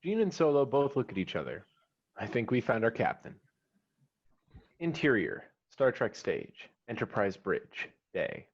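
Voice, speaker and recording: A man's voice: medium pitch, very animated, unhurried. A man reading screenplay stage directions as the narrator of a scripted table read. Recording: slightly dull online call audio.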